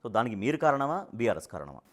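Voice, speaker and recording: A man's voice: mid-range voice, big pitch swings, quick pace. A man working as a TV interviewer, putting probing political questions and rephrasing them as he goes.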